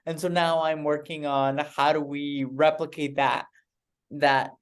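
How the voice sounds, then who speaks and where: A man's voice: a little high, little pitch movement, a little slow, clear, resonant tone. A man from Mexico introducing himself on a panel, upbeat and repeating words as he goes.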